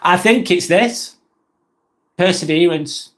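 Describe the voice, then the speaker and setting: A man's voice: sits high, slow, a bit bright. Lancashire man rambling on a live vlog. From northwest England. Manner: rambling and blunt, swears casually, frequent restarts. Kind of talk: casual live-stream talk.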